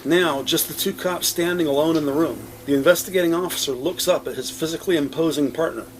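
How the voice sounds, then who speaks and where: A man narrating a crime audio drama with scripted, descriptive storytelling in a deliberate, literary manner. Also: fairly high pitch, noisy background.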